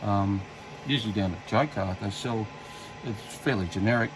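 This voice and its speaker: An Australian man with a fairly low voice explains a hands-on job step by step. His delivery is casual and unscripted, with halting phrasing as he works. The homemade indoor recording carries some faint noise. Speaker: hobbyist demonstrating a radio repair. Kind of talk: casual hands-on tutorial, talking while working. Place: indoors at a repair workbench. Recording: clearly noisy amateur workbench recording.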